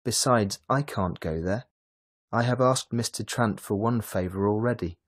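A man reading a storybook, voicing a gentle, reluctant older doctor who talks to his daughter with mild hesitation. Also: lowish voice, normal speed, close to an everyday voice. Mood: hesitant.

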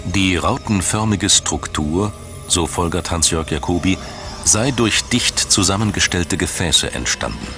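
Deep-voiced man narrating a documentary. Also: animated. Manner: measured, dramatic storytelling. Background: background music.

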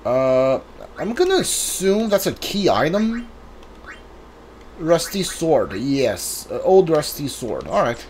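A man's voice: on the high side, very animated, slow, darkish tone, a little hiss or hum. A man giving casual let's play commentary, muttering reactions and reading item names aloud as he plays. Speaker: video game player streaming a playthrough.

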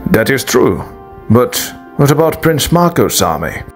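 A man's voice: mid-range voice, big pitch swings, unhurried, faint music behind. A man voicing a grave, loyal older knight mentor with formal speech in a fan dub. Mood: counseling. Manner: formal, avoids contractions.

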